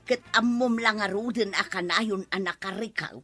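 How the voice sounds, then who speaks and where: A woman's voice: medium pitch, normal speed. A woman with an Ilocano accent acting a scolding, dramatic village woman in a comedy drama. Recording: loud music over the voice.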